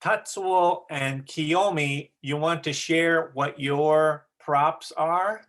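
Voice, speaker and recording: A woman's voice: low-pitched, extremely slow. A woman teacher chatting casually as she hosts an online class on a video call, encouraging and often repeating back what others say.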